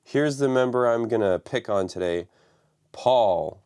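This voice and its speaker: A man hosting a live stream from his home studio, raspy from the flu and talking loosely with many fillers. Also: medium pitch, animated, unhurried, a little mellow. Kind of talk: casual live stream talk.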